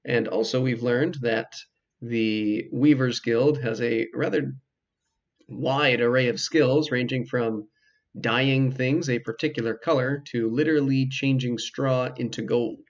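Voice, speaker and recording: Man, game walkthrough commentary, gravelly fry, measured and hesitant, dry asides, dull lo-fi recording. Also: mid-range voice, fairly even intonation. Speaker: video game walkthrough host. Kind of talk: casual let's play commentary.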